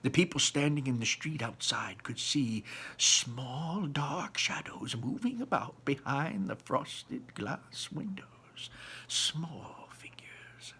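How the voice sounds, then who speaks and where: A man voicing an excitable elderly grandfather telling a mysterious storybook tale, dramatic and dropping to hushed tones for the mystery. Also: medium pitch, slow pace, breathy edge, in a whisper, exaggerated voice. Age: older.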